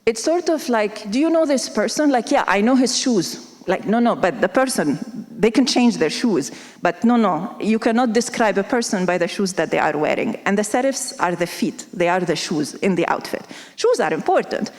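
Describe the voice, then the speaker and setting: A woman from Lebanon gives a conference talk at a mid pitch. She is conversational and speaks straight to the listeners. Her voice carries the long reverb of a big hall. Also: a little mellow. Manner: conversational, uses analogies, addresses audience.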